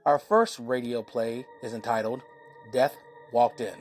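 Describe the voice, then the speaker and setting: Slow-paced male podcast host over music. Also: medium pitch, darkish tone. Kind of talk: scripted podcast introduction.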